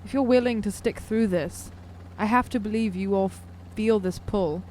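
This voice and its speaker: A woman's voice: mid-range voice, normal speed, darkish tone, slight background noise. A woman talking earnestly and questioningly as a player in a tabletop roleplaying game. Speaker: player in an actual-play podcast. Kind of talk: in-character tabletop roleplay chat.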